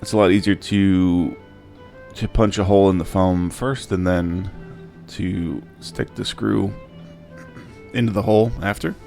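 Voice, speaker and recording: A man with a deep, low voice narrates a casual how-to. His delivery is informal and hesitant, stopping and starting as he explains each step. Faint music plays behind him. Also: steady tone, a little slow, vocal fry, a little mellow. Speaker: DIY prop maker and channel host.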